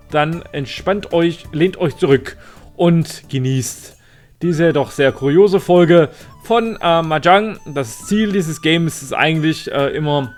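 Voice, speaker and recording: A German man with a somewhat high voice hosts a let's play. He talks casually and haltingly, slipping into theatrical announcer flourishes. Music plays softly behind him. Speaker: host of a gaming channel.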